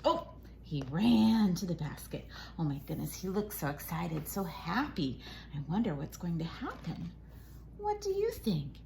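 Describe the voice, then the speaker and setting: Very animated woman reading to children, noisy recording. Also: lowish voice, a little slow, gravelly. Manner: exaggerated, sing-song, with dramatic gasps and questions to the child. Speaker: educator reading a picture book aloud. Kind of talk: animated children's read-aloud, modeling core words. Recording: loud noise behind the voice.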